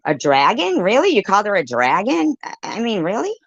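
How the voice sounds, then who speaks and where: An off-the-cuff commentator reacts with open indignation. The pitch moves up and down a lot, and phrases get repeated for emphasis, with swearing mixed in. The recording is dry and somewhat dull. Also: huge pitch swings, a little fast, no room sound. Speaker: commentator reacting to a played livestream clip. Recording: dull lo-fi sound.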